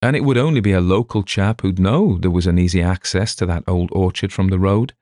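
A man's voice: sits low, brisk, a little mellow, dry recording, studio quality, natural voice. A man voicing a polite, long-suffering young police sergeant who speaks carefully. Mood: earnest.

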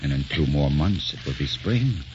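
Deep-voiced, tormented grieving poet. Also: male voice, lively intonation, moderate pace, background noise. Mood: tender, sad. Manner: melodramatic, brooding delivery. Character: grieving, tormented poet husband, intense and tender. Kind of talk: 1940s radio drama acting. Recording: muffled old 1940s radio recording, music behind.

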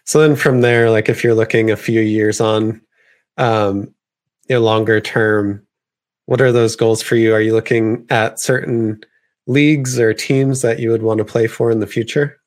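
A man's voice: on the low side, steady tone, normal speed. A man interviewing a young player, asking questions casually with fillers like "um" and "like".

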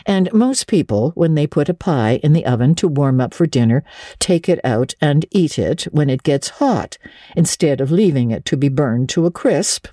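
A stern older woman acted by a storybook reader speaks in a low, mellow register. She is brisk, practical and dryly sarcastic, with no patience for nonsense. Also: no echo, clean studio sound. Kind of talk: dramatic audiobook reading of classic children's literature.

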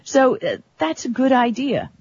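A tech talk show co-host explaining things in a chatty, colloquial, conversational way, with a husky, rough-edged voice. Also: sits high, very animated, measured pace, darkish tone, slight background noise. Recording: dull, lo-fi audio.

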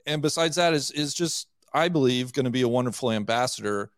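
A man's voice: mid-range voice, a little fast, no echo, studio quality. A man hosting a golf podcast, asking conversational questions and poking fun at himself. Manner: conversational, self-deprecating questions. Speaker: podcast host. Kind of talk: casual interview.

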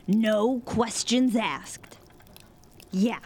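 A woman's voice: a little high, very slow, darkish tone. A woman playing a bossy, skeptical conspirator in an audio drama, curt and sardonic. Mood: curt, dry.

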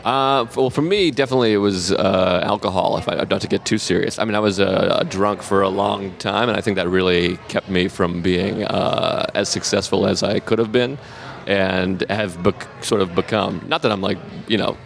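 A comedian in his thirties with a Boston accent talks in a casual interview. His voice sits very low with a gravelly fry. He halts, restarts and laughs at himself often. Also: steady tone. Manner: halting, laughs often, self-deprecating. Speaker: comedian guest.

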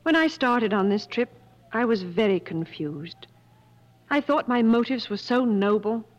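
An actress playing a troubled, refined wife with a dramatic, heartfelt delivery in 1950s radio style. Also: female voice, on the high side, lively intonation, unhurried. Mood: confessional, troubled. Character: troubled, refined English wife searching for lost husband. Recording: muffled old 1950s radio broadcast.

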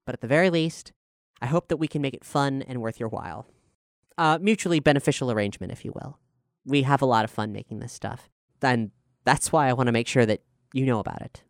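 A man's voice: mid-range voice, fast talker, creaky, no echo, studio quality. A man hosting a podcast, reading out announcements and promotional news to listeners. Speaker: podcast host and showrunner. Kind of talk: scripted announcement, promotional talk.

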